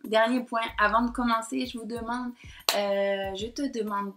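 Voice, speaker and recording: A woman in her thirties with a southern French accent that has picked up Québécois colour. She sits in front of her computer and speaks in a fairly high voice, pausing and hesitating often as she confides. Faint music plays underneath. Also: fairly even intonation. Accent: southern French mixed with Québécois. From south of France. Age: 30s. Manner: hesitant, many pauses and fillers. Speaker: painter and blogger. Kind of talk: personal confiding vlog to camera. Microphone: computer or laptop mic.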